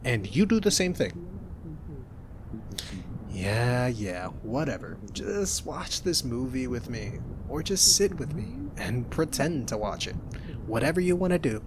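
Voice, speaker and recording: A young man doing an ASMR roleplay as a roommate, talking softly in a teasing, reassuring way. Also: medium pitch, big pitch swings, a little slow, noisy background.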